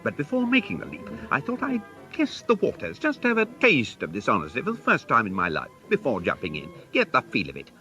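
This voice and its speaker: A man playing a middle-aged English gentleman turned schemer in a comic radio play, theatrical and persuasive. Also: medium pitch, wildly swooping pitch, a little fast, few pauses, faint music behind, full character voice. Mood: exhilarated.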